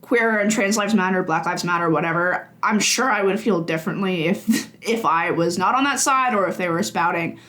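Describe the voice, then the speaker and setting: A woman's voice: lowish voice, normal speed, few pauses, fairly bright tone. A woman in her twenties, a games journalist guest, talking casually with many fillers and frequent laughs. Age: 20s. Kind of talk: casual conversation.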